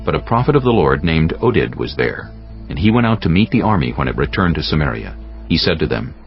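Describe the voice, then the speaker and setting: A very low-voiced man reads scripture as a solemn, measured narrator. His delivery is dramatic and varied in pitch, with a gravelly creak and music underneath. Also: sing-song, muffled recording. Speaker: audiobook narrator.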